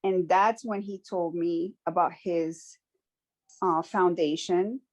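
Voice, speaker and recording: A woman from Morocco, speaking as a guest in a conversational interview. She talks earnestly and reflectively, often pausing and restarting her sentences. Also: lowish voice, steady tone, darkish tone, dull audio. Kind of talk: conversational personal testimony.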